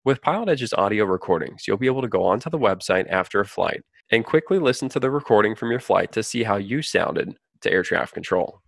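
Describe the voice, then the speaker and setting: A man's voice: lowish voice, expressive, a little fast, creaky, few pauses, dry recording, clean studio sound. A man giving scripted, explanatory narration for a training video. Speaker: narrator of a flight training promo.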